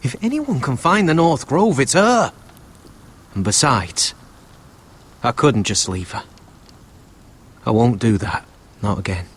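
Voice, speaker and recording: Casting: loyal young wildling brother, husky voice with wide swings, earnest and anxious, fantasy game drama. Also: male voice, medium pitch, animated, slow pace, pauses often. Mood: pleading, guilty. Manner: earnest, pleading. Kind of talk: video game drama acting.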